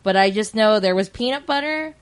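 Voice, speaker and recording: A woman's voice: mid-range voice, animated, fairly quick, clean tone. An American woman co-hosting a podcast in casual, unscripted conversation. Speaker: podcast co-host. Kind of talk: casual chat.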